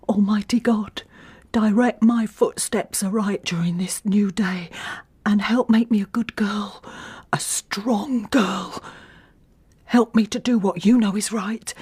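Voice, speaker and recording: An actress playing an anxious, earnest woman with quiet resolve in a radio drama, with an English accent. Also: medium pitch, takes it slowly, long pauses, husky. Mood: hushed, pleading. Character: devout, anxious working-class English woman with simmering resolve. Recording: faint background noise.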